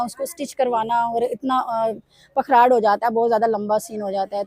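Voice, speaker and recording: A woman vlogger, hoarse from a sore throat, walking through market stalls and pitching bargains to her viewers. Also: warm tone, dry recording. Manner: repeatedly addresses viewers, upbeat sales pitch. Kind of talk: casual walkthrough commentary. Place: busy weekly market stalls.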